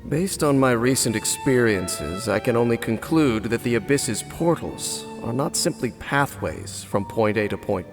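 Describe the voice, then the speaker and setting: An actor playing a solemn, guarded former royal guard captain in a video game, speaking formally and with measured, brooding deliberation. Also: male voice, mid-range voice. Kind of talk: video game character acting.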